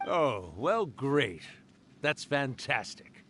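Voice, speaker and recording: A wistful storyteller yokai in a video game. His mid-range voice swings widely in pitch, theatrical and affable with a hesitant streak. Some background noise sits under the voice. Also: very animated, extremely slow, a bit bright, exaggerated voice. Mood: hesitant, tender. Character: wistful, affable male yokai spirit, old storyteller friend.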